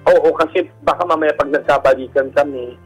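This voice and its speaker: A Filipino man with a husky, effeminate way of speaking, candidly telling his personal story as a guest in an interview. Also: a little high, fairly even intonation, fairly quick, poor audio. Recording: radio broadcast with music underneath. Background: background music.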